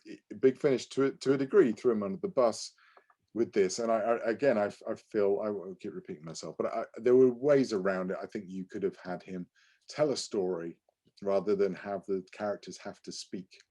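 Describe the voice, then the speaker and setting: A man co-hosting a podcast, talking casually in an animated, digressive way as he reviews things. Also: fairly low pitch, brisk. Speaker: podcast co-host.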